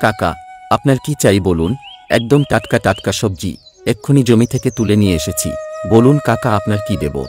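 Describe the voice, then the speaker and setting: A man voices a poor village farmer in a cartoon, with a lowish pitch. He is an earnest, good-natured family man, heard over music. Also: lively intonation, brisk, a little mellow, lightly acted. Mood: cheerful, respectful. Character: hardworking poor vegetable farmer, doting husband and father. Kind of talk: Bengali cartoon voice acting.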